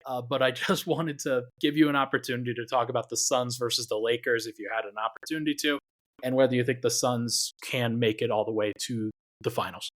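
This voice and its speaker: A man's voice: mid-range voice, brisk. Casual man hosting a basketball podcast. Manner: relaxed, thinking aloud with many fillers. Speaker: podcast host.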